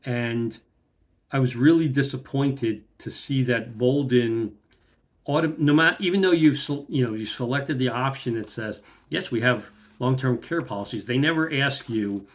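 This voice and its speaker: A middle-aged man speaks to a close webcam in a room at home, in an ordinary mid-range voice. He goes through one number after another in a plain, methodical way, with a few ums and uhs along the way. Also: medium pitch. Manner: plain, methodical, reads out lots of figures with fillers. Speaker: vlogger. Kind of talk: casual talk to camera.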